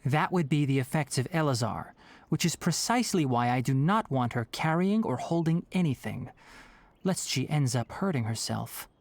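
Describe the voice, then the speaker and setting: An actor playing a calm, scholarly young male forest ranger in a video game, speaking in an articulate, measured way. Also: mid-range voice. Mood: grave, explanatory. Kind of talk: scripted video game dialogue. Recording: music behind the voice.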